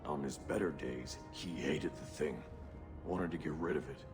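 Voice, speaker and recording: A frightened male dwarf servant in a fantasy game. His very low voice is grave and pleading, haunted by fear, with music playing clearly behind it. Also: normal speed. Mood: fearful, pleading. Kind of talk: video game dramatic acting.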